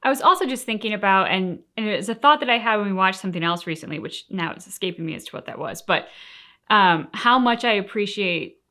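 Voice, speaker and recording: Woman just chatting, kinda philosophical and earnest, working thoughts out loud, average pitch. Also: expressive, fast. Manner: earnest, philosophical, thinking aloud. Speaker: co-host of a reaction show. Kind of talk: casual reflective chat.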